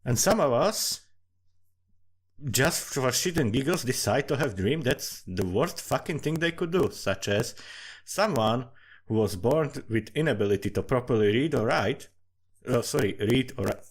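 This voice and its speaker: A man's voice: medium pitch, lively intonation, a little slow. A man from Slovakia with a Slovak accent, giving casual, rambling commentary in a profane, self-mocking and digressive way. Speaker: storyteller and amateur writer. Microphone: desktop USB condenser microphone.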